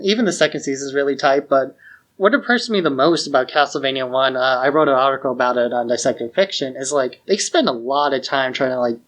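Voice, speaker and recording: A man's voice: mid-range voice, fairly bright tone. A man co-hosting a podcast in casual, conversational discussion. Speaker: podcast co-host.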